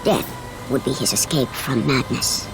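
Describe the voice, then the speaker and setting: An actress as a grave storyteller, narrating an ancient myth in a video game with measured, solemn drama. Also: female voice, extremely low pitch, gravelly, over music, heavily acted. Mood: ominous.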